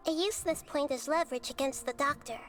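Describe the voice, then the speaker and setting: A woman playing a calm, wise young girl goddess in a video game, speaking in measured, articulate explanations. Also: high-pitched. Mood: composed. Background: background music.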